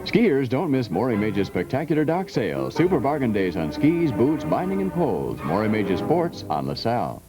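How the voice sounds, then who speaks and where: A male announcer delivers punchy local commercial copy. His mid-pitched voice moves around in a lively way over loud music in an old TV spot. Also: normal speed, few pauses. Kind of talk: punchy scripted local TV ad read.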